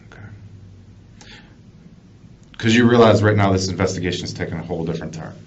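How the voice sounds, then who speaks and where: An American man working as a police detective, questioning someone in a measured way with repeated, restarted phrases. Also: low-pitched. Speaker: police detective conducting an interrogation. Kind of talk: investigative interview. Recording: muffled, noisy police interview room recording.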